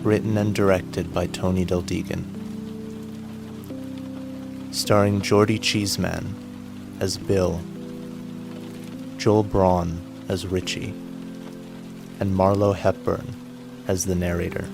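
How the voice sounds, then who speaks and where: A man announces drama credits in a very low, gravelly voice. He speaks slowly, reading a scripted introduction over music and rain. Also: level tone.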